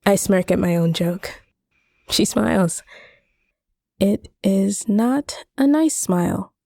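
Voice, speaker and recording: A woman narrating a first-person short story expressively, with measured, deliberate phrasing. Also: medium pitch, animated, creaky, warm tone, no echo, studio quality.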